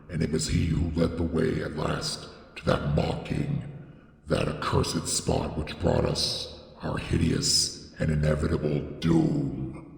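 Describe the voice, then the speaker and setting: A man reading gothic horror as dramatic scripted narration, with solemn, deliberate, theatrical phrasing and a gravelly voice. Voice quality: very deep, gravelly. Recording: echoey with long reverb.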